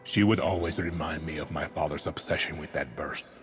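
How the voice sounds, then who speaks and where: An actor playing a ruthless middle-aged Latin American dictator with a Spanish accent, speaking in a measured, theatrical way that can drop to a whisper. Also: male voice, extremely low pitch, steady tone, big-room sound. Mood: brooding reverence. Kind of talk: dramatic video game acting.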